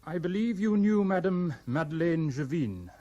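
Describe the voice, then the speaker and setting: An actor playing a polite, formal police inspector in a 1970s radio drama, questioning inquisitively. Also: male voice, on the high side, animated, moderate pace, dark tone, lo-fi sound, close to an everyday voice. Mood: probing. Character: polite, probing male French police inspector.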